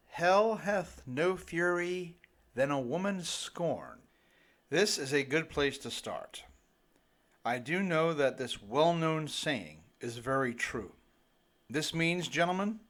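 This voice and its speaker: A middle-aged man reads his own audiobook at a leisurely pace. His pitch is average for a male voice. The scripted narration is lightly humorous and tongue-in-cheek, aimed directly at the listener. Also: a bit bright. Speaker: author reading own audiobook.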